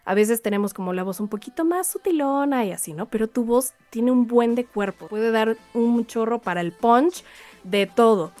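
A woman's voice: on the high side, a little mellow. A woman with a Mexican accent hosting a podcast, chatting casually in an effusive, encouraging way. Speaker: podcast host. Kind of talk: casual chat, interviewing.

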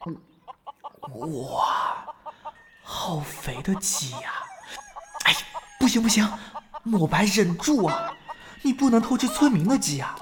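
A man playing a shy, naive young fox spirit in human form in a period romance audio drama, soft and hesitant, stammering when flustered. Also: sits high, huge pitch swings, slow, long pauses, heavily acted. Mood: tempted.

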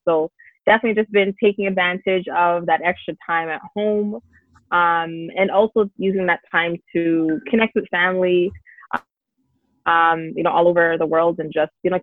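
Gravelly woman on a tinny line. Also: on the low side, no room sound. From Canada. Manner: earnest, upbeat, many "um" and "you know" fillers. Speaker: success coach, guest. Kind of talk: casual interview answers.

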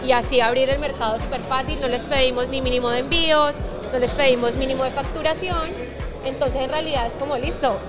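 A Colombian woman in her thirties with a high voice speaks as an interview guest. She talks in a chatty way and drops English business words into her speech. Clear music and crowd chatter sit behind her. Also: fairly even intonation, fairly quick. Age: 30s. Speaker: guest, startup founder. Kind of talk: casual interview talk.

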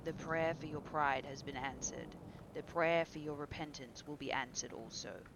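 Audiobook role: horrified male painter, moralizing and exclamatory, gravelly touch, played by a woman. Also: low voice, normal speed, a bit bright, a little hiss or hum, low-quality recording. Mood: pleading, grave. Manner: exclamatory, emphatic, dramatic. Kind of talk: dramatic audiobook character reading.

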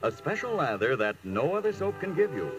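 A man announcing a scripted vintage radio advertisement with smooth, persuasive salesmanship. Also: a little high, big pitch swings, fairly quick, dull audio. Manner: smooth persuasive sales delivery, addressing listeners. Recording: old radio broadcast recording, somewhat distant.

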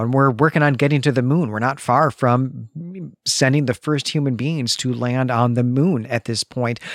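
A man hosts a conversational book podcast in an earnest, explanatory way. He often repeats words for emphasis as he works through a point. His mid-pitched voice is recorded dry, with very little room sound. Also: dry recording. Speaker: podcast host, teacher. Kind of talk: thoughtful literary discussion, conversational.

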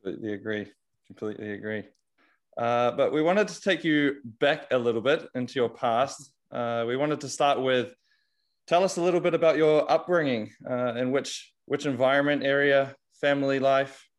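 Male interviewer, mid-pitched, easygoing and agreeable. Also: moderate pace. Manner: agreeable, brief affirmations. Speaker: podcast host. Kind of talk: interview questions.

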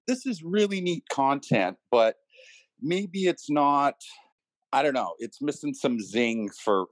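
A man talking casually as an interview guest, in a hesitant, halting manner. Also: lowish voice, dry recording. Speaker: company team member being interviewed.

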